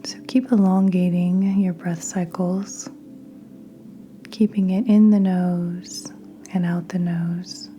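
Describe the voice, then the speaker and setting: A woman guiding a meditation in a soft, breathy near-whisper, gentle and soothing, with long unhurried pauses. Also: on the low side, little pitch movement. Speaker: podcast host and meditation guide. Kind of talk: guided meditation narration. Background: soft meditation music with singing bowls.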